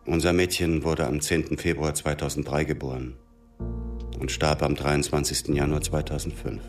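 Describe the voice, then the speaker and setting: An actor playing a wounded, grieving former intelligence agent, speaking in a subdued, reflective way in a radio drama. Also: male voice, rumbling low, little pitch movement, moderate pace, faint music behind.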